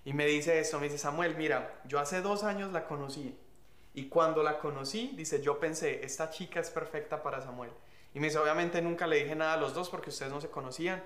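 A man's voice: a little high, brisk, a bit bright, reverberant. A young man telling a shared love story in an easygoing, affectionate way. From Colombia. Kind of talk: casual storytelling.

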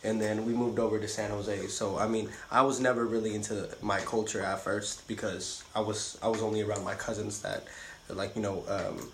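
Low-voiced young man, rambling chat. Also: flat delivery, moderate pace, hardly any pauses, a bit bright, echoey room, slight background noise. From Ethiopia. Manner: loose and rambling, heavy use of "like". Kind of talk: casual chat.

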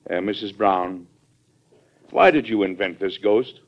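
An actor playing an earnest, fatherly American president in a vintage radio drama, measured and persuasive, with an American accent. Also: lowish voice. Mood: gentle, coaxing. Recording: muffled vintage radio recording.

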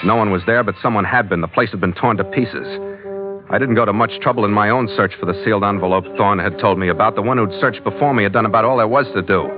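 An actor playing a wry, tough sea captain who narrates and stars in an old-time radio adventure with sardonic, terse delivery. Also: male voice, on the low side, fast, close to an everyday voice. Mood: tense, wry narration. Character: wry, tough, hard-boiled male sea captain hero. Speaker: narrating lead of an adventure serial. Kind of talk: 1940s-style radio drama acting and narration. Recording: tinny vintage radio recording with music.

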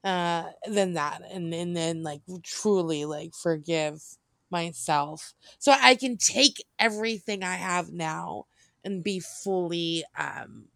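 A woman's voice: on the low side, slow pace, vocal fry, dry recording. An American woman chatting casually and candidly, in an informal, rambling way with self-interruptions and some swearing. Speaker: podcast co-host.

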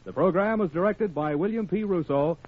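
A man's voice: high-pitched, brisk. A man announcing a radio drama in a scripted 1940s-50s broadcast style. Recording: muffled old radio broadcast with music. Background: music.

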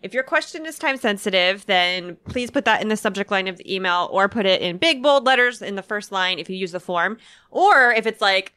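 A woman hosts a podcast in a bright, forward voice, making quick jokes and casual asides. Her voice is a little stuffy from a sinus infection. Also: medium pitch. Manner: chatty, jokey, quick asides. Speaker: podcast host. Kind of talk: casual chat.

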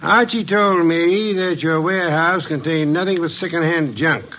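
A man's voice: fairly high pitch, moderate pace, pushed and bright. A man playing a pompous, irritable genius detective, speaking formally and wordily in an old-time radio drama. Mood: formal, probing. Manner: pompous, wordy, formal, sardonic.

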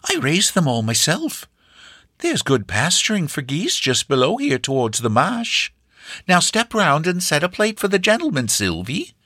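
A man's voice: on the high side, wildly swooping pitch, moderate pace, bright, forceful tone, dry recording, clean studio sound, pitched above the performer's own voice, full character voice. A man voicing an older countrywoman, hospitable and bustling, with homely rural speech.

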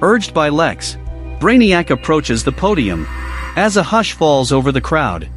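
A man's voice: on the high side, very animated, unhurried, a bit bright, faint music behind. A man reading scripted narration for a dramatic audio production.

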